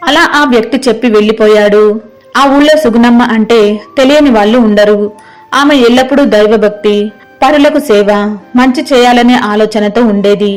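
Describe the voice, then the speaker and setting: A woman's voice: on the high side, brisk, darkish tone, soft background music. A woman narrating a scripted moral story as a storyteller.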